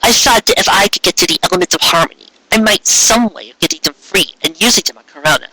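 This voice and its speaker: A man's voice: high voice, animated, fast, pushed and bright, slightly rough, full character voice, rough recording. A man voicing a young female unicorn magician who explains herself earnestly and defensively in a story. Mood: earnest explaining.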